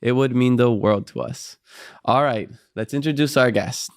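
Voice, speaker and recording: A laid-back man, hosting a podcast interview and laughing easily. His voice is smooth and clear, of middling pitch, and it sounds dry and close. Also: moderate pace, no room sound. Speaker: podcast host. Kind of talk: casual interview chat.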